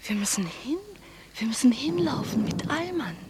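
An actress playing an uneasy, watchful woman in a German radio drama, hushed and questioning. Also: a little high, very animated, normal speed, background noise. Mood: anxious.

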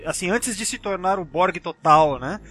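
A man's voice: sits high, lively intonation, moderate pace, a crowd in the background. A Brazilian man chatting casually as a podcast panelist. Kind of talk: casual chat.

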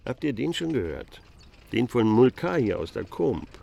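An actor playing a genial cemetery caretaker, a dry raconteur telling anecdotes in a radio drama. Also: male voice, mid-range voice, very animated, normal speed, vocal fry, mellow, a little hiss or hum. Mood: wry.

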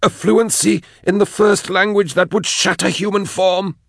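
A man voicing a tormented, devout woman captive in an audiobook, her words strained, halting and unwilling as she is forced to confess. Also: fairly bright tone, no echo, studio quality. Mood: pained, pleading. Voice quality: strained, high-pitched. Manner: tight, unwilling, halting, whining. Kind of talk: dramatised audiobook dialogue.

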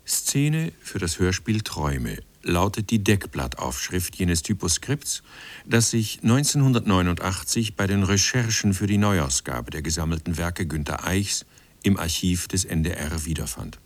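A man reading scripted documentary narration in a sober, measured manner. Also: sits low, expressive, no echo.

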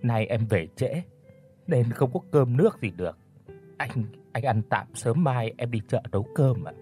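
A man's voice: mid-range voice, lively intonation, unhurried, soft background music, lightly acted. A man doing a timid, pleading young wife. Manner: pleading, stammering.

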